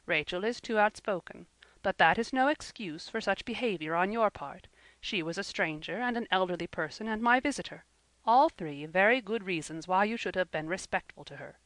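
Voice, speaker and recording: A woman's voice: medium pitch, lively intonation, moderate pace, fairly bright tone, no room sound, lightly acted. A woman voicing a stern, dry-witted spinster in her fifties in an audiobook, scolding in a firm, dry manner. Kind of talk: audiobook character voice.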